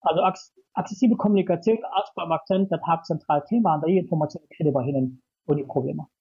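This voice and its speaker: A man's voice: fairly high pitch, quick pace, warm tone, husky, muffled recording. A man with a Luxembourgish accent, a guest on a radio program, explaining his work in a hesitant way with many fillers. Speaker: guest from a communication center. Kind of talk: radio interview answers.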